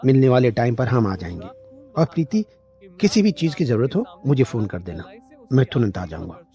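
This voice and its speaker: A man's voice: mid-range voice, big pitch swings, moderate pace, warm tone, highs cut off, lightly acted. A man voicing a protective, reassuring young Indian husband and son in a cartoon story. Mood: concerned. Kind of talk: Hindi animated moral story dialogue. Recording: music bed behind the voice.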